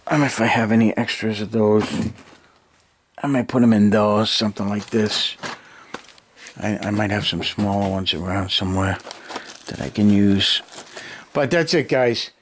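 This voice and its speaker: Low-voiced American man thinking aloud. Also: moderate pace, long pauses, slight background noise. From United States. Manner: unscripted, thinking aloud in short muttered bursts. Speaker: hobby vlogger. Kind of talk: casual chat to camera. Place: cluttered basement hobby room. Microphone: handheld camera near arm's length.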